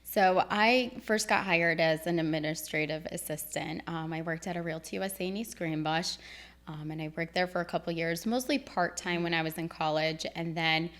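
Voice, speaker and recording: A woman's voice: lowish voice, normal speed. A woman in her thirties, born in Egypt, a real estate broker talking casually as a podcast guest, with plenty of "um"s as she recounts her path. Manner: relaxed storytelling with frequent "um". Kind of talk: casual interview.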